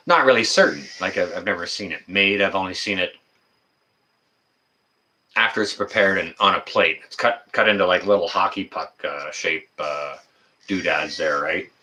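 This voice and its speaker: Male, 50s, deep but forward and bright voice; folksy, rambling, unscripted livestream chatter with a halting flow. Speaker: livestream host. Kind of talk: casual livestream chat.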